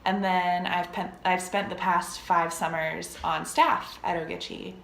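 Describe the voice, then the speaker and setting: Woman, 22; low, fry-tinged voice, matter-of-fact; roomy recording. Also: fairly even intonation, a little slow. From United States. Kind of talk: casual self-introduction. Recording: echoey room with faint background hum.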